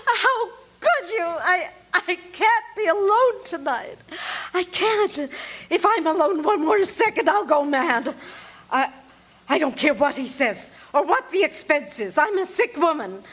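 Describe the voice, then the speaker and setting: A woman's voice: lively intonation, measured pace, fairly bright tone, heavily acted. A woman playing a nervous, bedridden woman who pleads frantically and stammers through sobs in a live radio play. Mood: distraught. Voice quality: breathy, sobbing and stammering. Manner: frantic, self-pitying, shrill pleading. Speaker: lead actor in live stage radio play. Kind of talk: live radio drama reading. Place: large hall before live audience.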